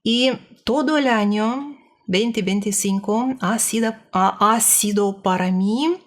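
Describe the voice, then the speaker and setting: A woman's voice: medium pitch, takes it slowly. A woman giving a casual personal report as a language learner, speaking hesitantly and a little nervously as she searches for words.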